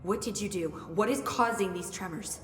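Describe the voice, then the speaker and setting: Game role: cautious female researcher, played by a woman, analytical and precise, medium-pitched, reverberant hall. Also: normal speed, natural voice. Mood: alarmed. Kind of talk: video game cutscene acting. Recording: echoey hall, faint noise.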